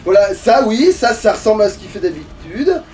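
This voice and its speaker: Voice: mid-range voice, big pitch swings, a little fast, darkish tone. A gamer giving casual, sweary, grumbling commentary while playing. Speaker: let's play streamer. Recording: distant mic with game music behind. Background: video game music.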